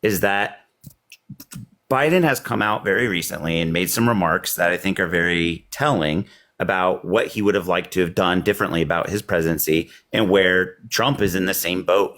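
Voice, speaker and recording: A man with a fairly low, slightly hoarse voice argues politics in a heated group call. He rambles and restarts, full of ums, and jabs at the others. His tone stays combative throughout. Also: fairly quick, fairly bright tone. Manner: combative, rambling, many fillers. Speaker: debate caller.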